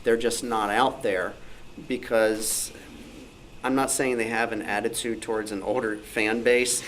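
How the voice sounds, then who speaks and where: A man's voice: lowish voice, little pitch movement, a little slow, fairly bright tone. A man speaking off the cuff as a panelist at a club meeting of radio hobbyists. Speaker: panelist, old-time radio fan. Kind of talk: unscripted panel discussion. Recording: noisy live meeting room recording.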